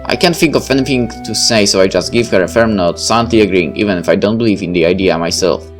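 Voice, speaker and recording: A man's voice: fairly low pitch, fast talker, soft background music. A man reading a story game aloud and commenting on it as he plays. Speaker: gamer reading a visual novel aloud and commenting. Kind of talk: dramatic read-aloud of first-person fiction with casual asides.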